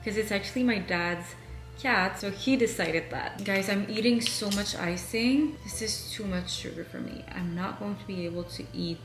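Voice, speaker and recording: A woman's voice: medium pitch, moderate pace, music in the background. Chatty young woman, roomy home sound. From Canada. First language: French. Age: 20s. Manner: chatty, answering viewer questions. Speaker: vlogger. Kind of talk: casual chat while decorating.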